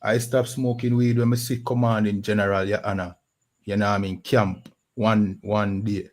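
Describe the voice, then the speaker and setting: A man's voice: fairly low pitch, little pitch movement, normal speed. A man with a Jamaican accent hosting a podcast in a casual talk-show style, often saying "you know what I mean". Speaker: podcast host.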